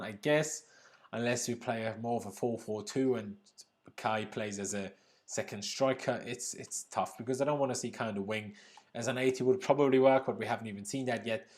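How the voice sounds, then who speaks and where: A young man from Austria hosting a live football reaction stream, chatting casually, reading viewer comments and laughing often. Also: fairly low pitch. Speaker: livestream host reacting to a football draw.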